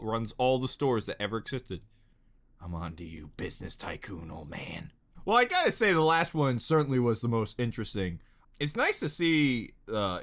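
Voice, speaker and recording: A man's voice: medium pitch, a bit bright. A man giving casual, jokey reaction commentary with sarcastic remarks and mild swearing. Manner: jokey, sarcastic, mildly profane. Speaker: reaction video host.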